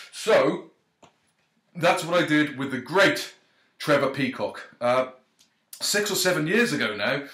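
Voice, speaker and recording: A man's voice: medium pitch, fairly bright tone. A male author talking casually to his readers, thanking them and reading a page, with lots of ums and uhs and self-deprecating jokes. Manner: chatty, hesitant, many ums and uhs; self-deprecating, jokey asides. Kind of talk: casual direct-to-camera thank-you and book reading. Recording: roomy echo.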